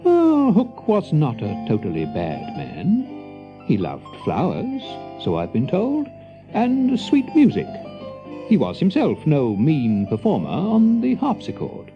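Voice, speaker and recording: A man narrating a scripted children's story dramatically, as an expressive, confiding storyteller. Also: fairly high pitch, slow pace, dull audio, music in the background.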